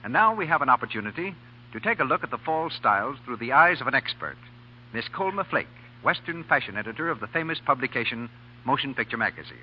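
A male announcer speaks in formal, courteous 1940s radio style. His voice sits at a middle pitch. The recording is muffled, with faint noise. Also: big pitch swings, quick pace. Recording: muffled, noisy 1940 radio broadcast.

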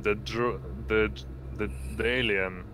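A man narrating a tabletop roleplaying game as game master, in a halting way, searching for words. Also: lowish voice, fairly even intonation, a bit bright, slight background noise.

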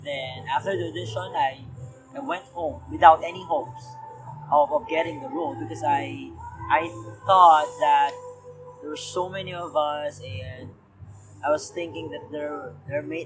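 A man's voice: on the high side, poor audio. A young Filipino man with a Filipino accent, speaking in an interview about his acting. He talks earnestly, restarts his sentences often and mixes Tagalog with English. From Philippines. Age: young adult. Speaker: actor. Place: indoors in a cinema with red seats.

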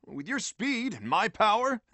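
A big, boastful echidna brute with a high, sharp voice that swings widely as he brags. His talk is gruff and blunt, full of clumsy jokes. The sound is close and dry, as in a game recording booth. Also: very animated, a little slow, no room sound, heavily acted. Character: big brawny boastful male echidna, dim-witted. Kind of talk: video game voice acting. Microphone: studio mic, dry.

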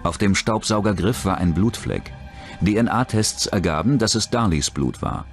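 A man with a low, deep voice reads scripted true-crime narration as a documentary narrator. Music plays underneath him. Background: background music.

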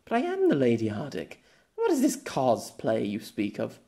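A man's voice: medium pitch, wildly swooping pitch, normal speed, gravelly, dark tone. A man voicing an earnest, proud young lady knight in an audiobook, with formal, old-fashioned phrasing. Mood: confused. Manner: formal, archaic, indignant phrasing. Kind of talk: audiobook character acting.

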